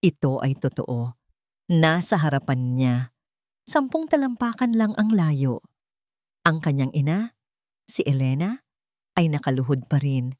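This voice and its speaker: A woman storyteller reading a scripted drama in deliberate, short dramatic phrases. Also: low voice, big pitch swings, darkish tone, no echo, studio quality.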